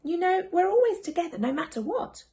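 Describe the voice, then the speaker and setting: A patient, gentle storybook mother, voiced by a woman reader, soothing her little ones. Her delivery is very expressive, with a light creak in the voice. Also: extremely high pitch, brisk, voice pushed up, exaggerated voice. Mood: reassuring. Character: gentle, reassuring mother of young twins. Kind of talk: children's picture book read aloud.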